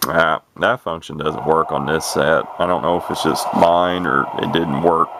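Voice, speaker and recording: A man giving a casual, unscripted radio demo in a folksy, rambling way, thinking out loud as he goes. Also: very deep voice, fairly even intonation, moderate pace, background noise. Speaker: hobbyist demonstrating a CB radio.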